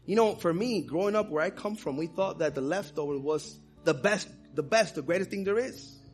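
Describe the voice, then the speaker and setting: A man's voice: high voice, fairly quick, soft background music. A man from the Dominican Republic preaching a sermon with fervor, weaving in personal stories. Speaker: pastor.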